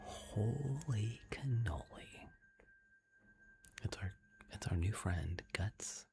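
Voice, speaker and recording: Low gravelly whisperer hosting a stream. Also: steady tone, takes it slowly. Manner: soft whispering, chatty, giggly. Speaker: livestream host. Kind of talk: whispered ASMR livestream chat.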